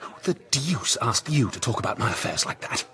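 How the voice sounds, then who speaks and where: A rakish young English gentleman officer of a period radio play. His voice swings very widely in pitch, blustering and haughty, proud and charming by turns. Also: big pitch swings, brisk. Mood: indignant. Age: young adult. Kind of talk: period radio drama acting.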